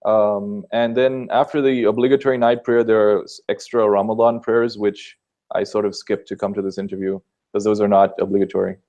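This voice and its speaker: A man's voice: fairly low pitch, flat delivery, fairly quick, mellow. A man from Sri Lanka answering interview questions in an earnest, explanatory way, with many filler sounds. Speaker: guest answering questions about Islam.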